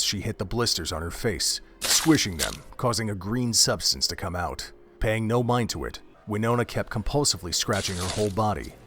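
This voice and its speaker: A man narrating a gruesome horror audio drama in a measured, dramatic storytelling manner. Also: on the low side, fairly bright tone, a little hiss or hum.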